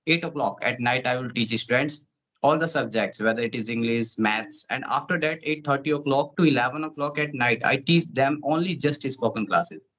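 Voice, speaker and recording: A man's voice: medium pitch, moderate pace, a bit bright, rough recording. A male teacher, not a native English speaker, chatting casually and repeating points as he talks. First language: Hindi. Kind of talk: casual conversation.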